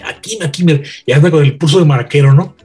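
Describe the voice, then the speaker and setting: A man's voice: a little high, fast talker, a little mellow, slightly rough. A man, a radio veteran chatting and telling anecdotes emphatically, repeating phrases for effect. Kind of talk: animated anecdote-telling in a panel chat.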